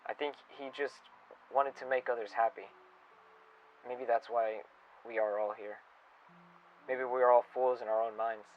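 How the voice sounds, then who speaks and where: A man playing a reflective, wistful staff member recording an audio log, speaking in a halting, pensive and sincere way. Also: medium pitch, flat delivery, lightly acted. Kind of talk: audio log monologue with storytelling. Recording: dull lo-fi recording with music. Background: music under the voice.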